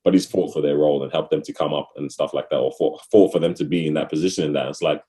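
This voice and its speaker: A man's voice: rumbling low, steady tone, fast talker. A young man with an Australian accent, telling a story in casual podcast chat, full of slang. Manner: slangy, laughs loudly, storytelling. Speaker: podcast co-host.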